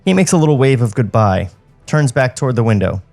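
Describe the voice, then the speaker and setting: Guy reading out script directions on a podcast, medium pitch, bit of music behind. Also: sing-song, brisk, creaky, a little mellow. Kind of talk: screenplay narration with joking asides.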